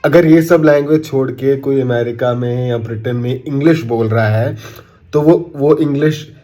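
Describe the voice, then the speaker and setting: A man's voice: mid-range voice, normal speed, dark tone. A man with an Indian accent ranting to camera in an opinion vlog, indignant and sarcastic, mixing Hindi with English. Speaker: commentator.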